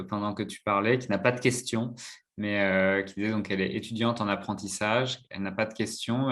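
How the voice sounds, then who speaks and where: A man with a slightly low voice hosts a webinar in a relaxed way. He passes on messages from viewers and adds short remarks of agreement. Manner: relaxed, reads out viewer comments. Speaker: webinar host. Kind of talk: moderating a webinar.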